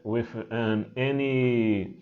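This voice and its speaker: A man whose first language is Portuguese, speaking English with a Portuguese accent as a spiritual teacher, halting and hesitant with many fillers and restarts. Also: medium pitch, steady tone. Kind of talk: unscripted spiritual talk to camera. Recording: muffled, with singing bowl music behind.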